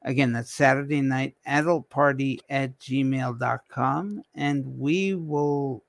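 A man hosting a casual adult podcast chat, talking slowly and haltingly, often repeating words. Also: medium pitch, fairly even intonation, vocal fry, dry recording, clean studio sound. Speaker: host.

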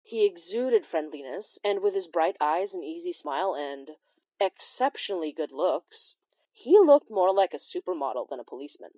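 A woman playing a wry, observant immigrant healer who narrates a horror audio drama in a confiding, measured way with dramatic pauses. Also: lowish voice, very animated, dry recording, lo-fi sound.

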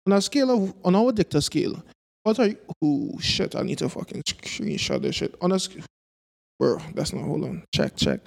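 A man co-hosts a casual podcast with a somewhat high voice that leaps around a lot. He teases and needles his friends, swearing freely. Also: normal speed, vocal fry. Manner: animated, teasing, profane. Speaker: podcast co-host.

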